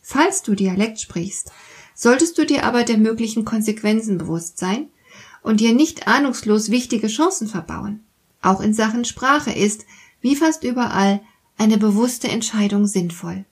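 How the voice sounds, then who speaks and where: A woman hosting a podcast, reading a scripted monologue in a calm, explaining way and speaking directly to the listener. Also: on the high side, a little slow, slightly rough. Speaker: podcast host.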